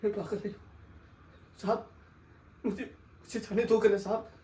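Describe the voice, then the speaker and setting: A woman performing a dramatic monologue as a desperate orphaned son, pleading with a sahib, stammering and swinging between hushed and wailing delivery. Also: fairly high pitch, lively intonation, a little slow, slight background noise. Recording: echoey room.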